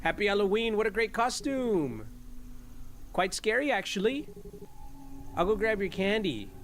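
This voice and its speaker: Brief: male streamer, slightly high voice, profane joking banter with bits of Spanish slang. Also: sing-song, unhurried, fairly bright tone, slight background noise. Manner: joking, profane trash talk with the game's characters; drops Spanish slang into English. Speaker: streamer playing a horror game. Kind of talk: casual gameplay commentary.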